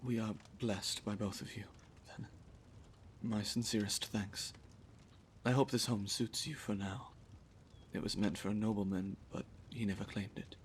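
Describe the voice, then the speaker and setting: A man's voice: on the low side, fairly even intonation, unhurried, pauses often, a little hiss or hum, natural voice. A man playing a humble, grateful villager in a fantasy audio drama, speaking formally and earnestly to his king. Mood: grateful, deferential.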